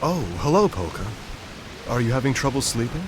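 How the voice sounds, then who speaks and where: An actor playing an ailing young male composer in a game cutscene, speaking softly and reflectively. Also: mid-range voice, very animated, brisk, close to an everyday voice. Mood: gentle. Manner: soft, reflective, searching delivery. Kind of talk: video game cutscene dialogue. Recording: hissy game audio capture.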